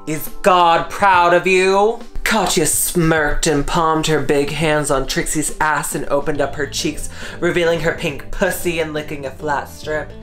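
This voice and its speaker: Woman, story reader, low voice, slow deliberate narration, guitar music. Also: fairly even intonation. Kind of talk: dramatic reading of fan fiction.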